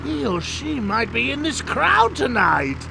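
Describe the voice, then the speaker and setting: An actor playing a manic, cruel male clown villain in a motion comic with a gravelly voice. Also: very high voice, very animated, measured pace, heavy background noise, full character voice. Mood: mocking. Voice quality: gravelly, wildly swooping. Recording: with loud music score.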